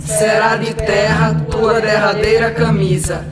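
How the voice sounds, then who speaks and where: A man playing a mourning peasant in an animated film, slowly chanting solemn funeral verse. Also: sits high. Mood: solemn, mournful. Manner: solemn, drawn-out, measured. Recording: echoey, with loud music behind.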